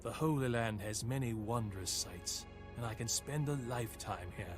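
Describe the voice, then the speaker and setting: A man playing a reflective Norman crusader knight, narrating a video game campaign in a measured, grave, formal way. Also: medium pitch, close to an everyday voice. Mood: reflective, wistful. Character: captured Norman crusader narrating his years with Saladin, reflective, solemn. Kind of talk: scripted dramatic storytelling narration. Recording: voice over a clear music bed. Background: game cutscene music.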